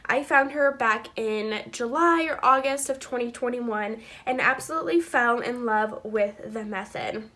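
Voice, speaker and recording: Woman, twenties, high voice, stuffy from a cold, chatty upbeat vlog host. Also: a little slow, few pauses, a bit bright. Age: 20s. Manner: chatty and upbeat, addressing viewers directly. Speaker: channel host. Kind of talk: casual budgeting walkthrough.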